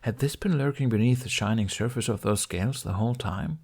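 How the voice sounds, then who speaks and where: A man with a fairly low voice narrates an audiobook. He reads scripted fiction expressively, as a storyteller. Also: brisk, gravelly, a little mellow, husky.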